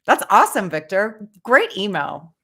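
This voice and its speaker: A woman co-hosting a casual podcast chat, upbeat and quick to laugh. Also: mid-range voice, very animated, creaky, bright, forceful tone, breathy edge. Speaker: podcast co-host.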